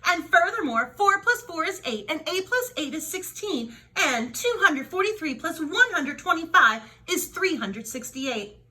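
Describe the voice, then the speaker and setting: Woman acting a loud, bossy, high-pitched capybara bully. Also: very animated, unhurried, few pauses, full character voice. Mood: loud know-it-all. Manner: loud, bossy, show-off delivery. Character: big, mean, boastful young female capybara.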